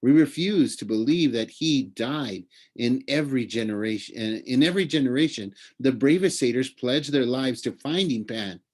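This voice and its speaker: A man's voice: mid-range voice, animated, moderate pace, few pauses, darkish tone, voice pushed up, close to an everyday voice. A man voicing an earnest, easily indignant young male satyr in a storybook reading, lecturing in a plaintive way. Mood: earnest, hopeful.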